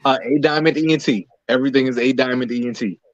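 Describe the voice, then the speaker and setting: A man's voice: mid-range voice, fairly even intonation, fast, dry recording. An American man giving casual answers as an interview guest, on a slightly muffled recording. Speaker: interview guest, singer, actor and playwright.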